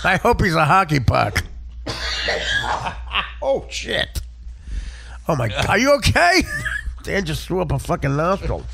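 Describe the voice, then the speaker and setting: A man who works as a stand-up comedian, telling crude, rambling stories in a casual, profane, animated way. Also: fairly high pitch, measured pace, vocal fry, pauses often, slight background noise.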